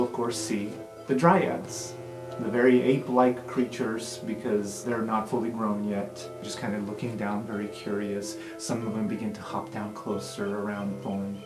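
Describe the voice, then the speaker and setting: A man narrating an improvised tabletop game as game master in a casual, conversational way. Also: fairly low pitch. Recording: roomy sound, music underneath.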